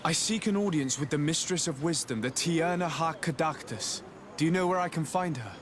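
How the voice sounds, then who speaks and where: A man's voice: a little high, a little fast, slight background noise, natural voice. A man playing an earnest, courteous and resolute young nobleman envoy in a video game.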